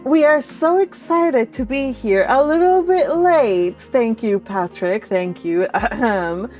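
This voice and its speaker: A woman's voice: a little high, slow, a little mellow. A chatty, encouraging woman hosting a casual group read-along livestream. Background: music.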